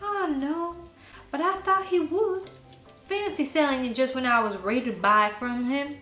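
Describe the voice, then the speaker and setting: Woman voicing an eager, high-pitched little boy. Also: moderate pace, bright, forceful tone. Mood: upset. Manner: earnest, exclamatory childlike talk. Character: eager, earnest young boy longing for a toy train. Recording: roomy sound with faint music behind.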